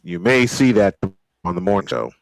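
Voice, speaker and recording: A man's voice: low voice, big pitch swings, moderate pace, vocal fry, no room sound. Man calling baseball play-by-play, dry close sound. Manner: old-school sportscaster delivery. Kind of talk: live play-by-play commentary with casual asides.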